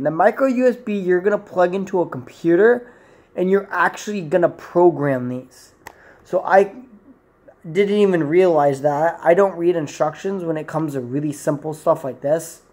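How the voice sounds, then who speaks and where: A man's voice: high voice, measured pace, a little mellow. A man in his twenties giving a rambling, informal product review to camera in a room at home. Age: 20s. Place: small indoor room at home.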